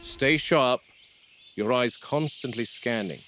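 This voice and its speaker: A man playing a calm, commanding veteran game warden in found-footage horror, speaking in measured, terse, authoritative phrases. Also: medium pitch, slight background noise. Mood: measured, explanatory. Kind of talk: found-footage horror film acting and log narration. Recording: VHS-style found footage, music underneath.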